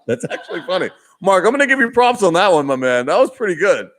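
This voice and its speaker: A man's voice: high-pitched, big pitch swings, a little fast, fairly bright tone. A young man with a Boston accent chatting casually on a live stream, reading comments aloud, laughing often and restarting his sentences. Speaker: vlogger hosting a live Q&A.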